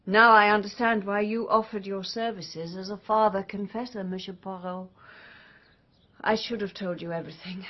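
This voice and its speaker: Actress as a husky, composed lady. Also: medium pitch, steady tone, normal speed, lightly acted. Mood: grave, remorseful. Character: composed upper-class English lady confessing gravely. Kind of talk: radio drama acting. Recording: muffled, dull radio drama recording.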